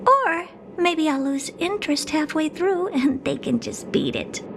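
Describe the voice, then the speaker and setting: A woman's voice: sits high, expressive, measured pace, gravelly, background noise, heavily acted. A woman playing a haughty, doll-like genius scientist in a video game, condescending and blunt. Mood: flippant. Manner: condescending, smug, blunt, bored drawl turning to keen curiosity. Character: haughty, doll-like female genius scientist who owns a space station. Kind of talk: video game cutscene acting. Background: music.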